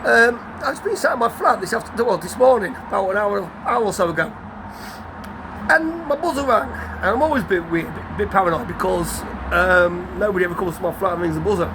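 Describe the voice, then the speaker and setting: A man, croaky from a cold, telling a rambling, guarded story to his vlog audience, full of restarts. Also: sits high, fairly quick. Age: 50s. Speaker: vlogger. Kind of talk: casual story to camera. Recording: outdoor traffic noise behind.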